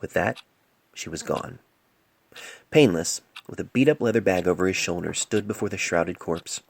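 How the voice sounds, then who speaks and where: A man narrating a scripted story in a solemn, measured way, recorded amateurishly on a cell phone mic. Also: low voice, gravelly, dry recording. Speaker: amateur fan fiction author and narrator.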